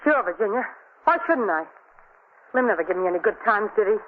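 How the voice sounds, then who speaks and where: A woman's voice: mid-range voice, lively intonation, a little fast, lightly acted. An actress playing a hard, selfish gangster's woman in a 1940s radio drama, curt and dismissive. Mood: scornful. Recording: tinny, hissy 1940s radio recording.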